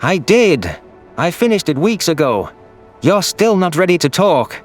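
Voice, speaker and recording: A man's voice: on the high side, very animated, a little slow, faint music behind, pitched above the performer's own voice. A man voicing a bitter, defensive young male alien soldier, pleading intensely in a sci-fi audio drama. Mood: defiant.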